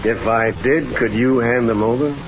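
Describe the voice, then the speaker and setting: An actor playing a hard, self-assured, scheming outlaw boss in a radio Western, talking curt and tough. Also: male voice, mid-range voice, normal speed, soft background music, lightly acted. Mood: wary, hard. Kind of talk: old-time radio Western acting. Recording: muffled band-limited old radio recording.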